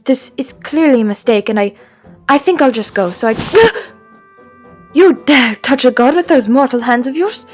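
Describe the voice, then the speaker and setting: A woman's voice: high voice, animated, normal speed, faint music behind, pitched above the performer's own voice. Woman acting a sassy, theatrical male god of wishes. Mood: grudging, annoyed. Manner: theatrical, indignant, whiny. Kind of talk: fantasy audio roleplay acting.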